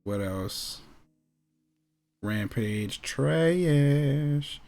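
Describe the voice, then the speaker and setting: A man's voice: mid-range voice, expressive, extremely slow, gravelly, darkish tone. An American man with a mid-range voice talks casually as a co-host. He is slangy and opinionated, restating his verdicts with emphasis. Faint music runs behind him. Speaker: podcast co-host. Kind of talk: casual banter about movies and anime.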